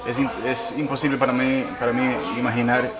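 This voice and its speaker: A man interviewing a guest, asking questions in a halting way and correcting himself often. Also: mid-range voice, flat delivery. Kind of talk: casual interview.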